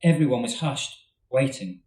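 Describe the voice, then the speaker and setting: Brief: male author reading a story aloud to a live audience, measured and steady, mid pitch, in a roomy hall with the mic at a distance. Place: literature festival event hall.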